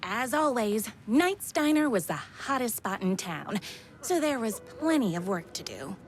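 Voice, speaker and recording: A bubbly waitress superfan from a comic video game, high-voiced and unhurried. She gushes dreamily and is thoroughly pleased with herself, with music playing behind her. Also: very animated. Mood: dreamy, smug. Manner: gushing, dreamy, self-satisfied. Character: bubbly, self-adoring waitress and obsessed superfan, woman. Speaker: narrator and lead character. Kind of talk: comic scripted narration and game dialogue.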